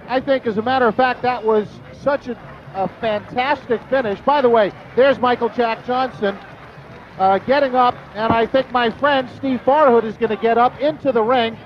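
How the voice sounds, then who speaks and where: A man's voice: very high voice, fairly even intonation, normal speed. A man commentating on a televised boxing match. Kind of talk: live TV fight commentary. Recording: dull old TV broadcast, crowd noise. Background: faint arena crowd.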